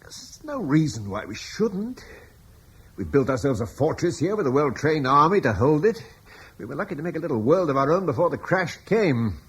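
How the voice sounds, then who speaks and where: An actor playing Julian, a steady middle-aged lord in a radio drama, speaking in a measured, thoughtful, reassuring way. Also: male voice, mid-range voice, breathy edge, heavily acted. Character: steady older British lord, calm and reflective. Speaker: Julian, lord of a fortified estate.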